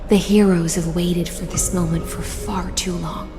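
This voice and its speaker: An actress voicing a resolute, solemn warrior in a fantasy video game, grave and measured. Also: female voice, fairly low pitch, fairly even intonation. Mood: grave resolve. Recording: long hall reverb under game music.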